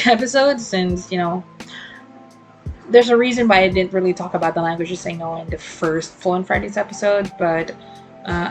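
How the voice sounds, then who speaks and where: A young woman hosts her own podcast in a relaxed solo monologue. Her voice sits on the low side, and she wanders through her thoughts with plenty of ums and restarts. Soft music plays faintly underneath. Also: low-quality recording. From Philippines. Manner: rambling and reflective, many fillers and self-corrections. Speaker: podcast host.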